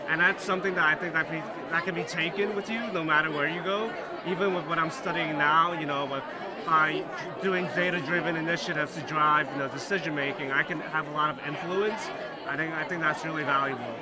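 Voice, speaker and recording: A man's voice: a little high, steady tone, fairly quick. A young man in his twenties, a graduate student, answering interview questions earnestly with lots of "you know" fillers. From Hong Kong, raised in New York. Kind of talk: casual interview. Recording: loud crowd behind. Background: crowd chatter.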